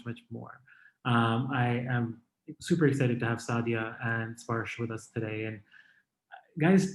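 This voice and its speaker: Male podcast host, friendly with hedges, mid-pitched, roomy echo. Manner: friendly, hedging with filler phrases. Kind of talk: interview host introduction.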